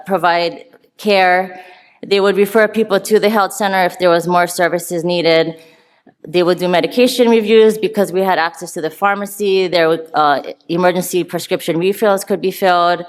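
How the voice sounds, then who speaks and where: A woman giving a conference talk on public health work, earnest and walking through her slides. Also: lowish voice. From Hawaii. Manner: earnest, talks through slides, some restarts. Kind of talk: conference presentation. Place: conference room. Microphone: handheld or podium mic.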